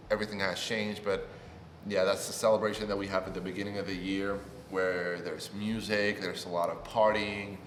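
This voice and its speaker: A man's voice: fairly low pitch, slight background noise. A man in his twenties from Puerto Rico, a native Spanish speaker, giving an upbeat, chatty introduction on his new channel. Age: 20s. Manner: upbeat and chatty, rising with excitement. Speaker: YouTuber. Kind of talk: casual vlog self-introduction. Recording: echoey room with long reverb.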